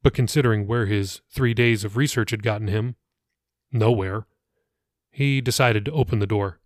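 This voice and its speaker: A man's voice: lowish voice, moderate pace, no room sound, clean studio sound. A man reading his own fiction audiobook as a scripted narration.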